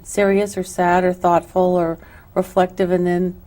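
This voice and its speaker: A middle-aged woman hosts a local TV studio interview in a low, mellow voice. She rambles through long, chatty questions, filling them with "you know" and "I mean". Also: level tone, a little slow. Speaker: TV show host. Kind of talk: casual interview.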